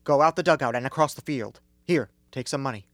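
A man's voice: mid-range voice, a little fast, a bit bright, dry recording, studio quality, natural voice. A man acting in a comedic radio play as a garrulous, folksy baseball business manager and barkeeper with a colloquial, rambling delivery. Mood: exasperated, worried. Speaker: student radio actor.

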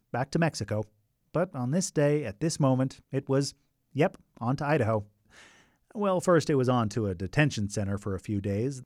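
A man's voice: medium pitch, darkish tone, dry recording. Dry, conversational male radio narrator. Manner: conversational with wry asides. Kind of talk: scripted documentary narration.

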